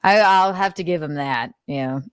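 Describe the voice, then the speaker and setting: A woman's voice: low voice, normal speed, clear, resonant tone, no echo, clean studio sound. A woman host giving commentary while reading aloud, sardonic and exasperated, with casual swearing. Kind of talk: commentary mixed with dramatic reading.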